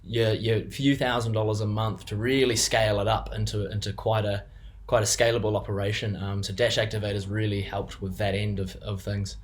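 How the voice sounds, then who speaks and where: A man's voice: fairly low pitch, flat delivery, fairly quick. A younger man with a New Zealand accent, a business co-owner giving an unscripted client testimonial, hesitant with many fillers and restarts.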